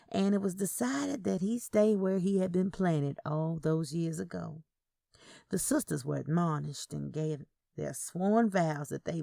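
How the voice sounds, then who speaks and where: A woman's voice: fairly low pitch, vocal fry, dark tone, dry recording, studio quality. A woman reading a scripted first-person story as its narrator.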